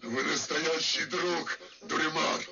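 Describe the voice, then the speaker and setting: A man voicing a menacing, villainous puppet-theatre director in a Soviet animated fairy-tale film. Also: a little high, takes it slowly, gravelly. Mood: scheming. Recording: dull old film soundtrack, very loud music.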